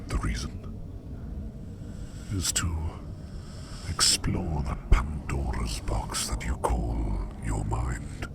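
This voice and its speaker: A man playing a curious, enigmatic sleep demon in an ASMR roleplay, whispering slowly in formal, musing phrases with a gravelly creak. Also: animated, very noisy, exaggerated voice. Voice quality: breathy whisper over very deep gravelly creak.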